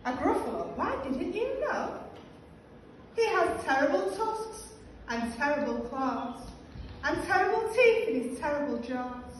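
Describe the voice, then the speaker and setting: A woman's voice: sits high, big pitch swings, a little slow. A woman voicing a small, polite but boastful trickster mouse in a sing-song storybook manner. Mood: teasing. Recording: roomy, distant classroom recording, faint noise.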